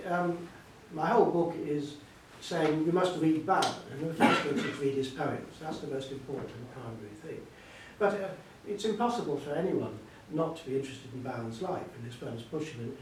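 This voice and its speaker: A man's voice: moderate pace, hardly any pauses. An older man speaking as an author in a literary discussion before an audience, in an emphatic, discursive way. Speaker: author being interviewed. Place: echoey hall at a live book event. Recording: very echoey, distant mic, faint rumbling noise.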